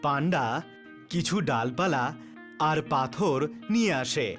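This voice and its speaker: A man's voice: on the high side, animated, takes it slowly, a bit bright. A man narrating a children's cartoon story in a scripted storytelling style. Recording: faint music bed under voice.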